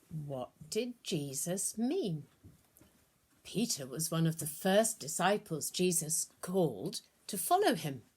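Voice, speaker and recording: Female storyteller for children's religious tale: lowish voice, very unhurried, deliberate and expressive read-aloud. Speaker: storyteller and prayer leader. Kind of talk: scripted children's Bible story reading.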